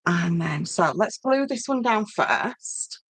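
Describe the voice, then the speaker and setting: A woman's voice: fairly low pitch, expressive, takes it slowly, slightly rough, no echo. A woman with a Yorkshire accent hosting an informal craft demonstration, chatting to viewers and thinking aloud as she works. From Yorkshire, northern England. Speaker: craft tutorial host.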